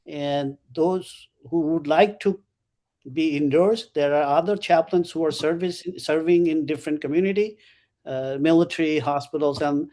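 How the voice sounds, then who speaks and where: Halting male chaplain on a panel. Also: on the high side, dark tone. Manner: halting, many fillers and restarts. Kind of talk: panel remarks on a video call.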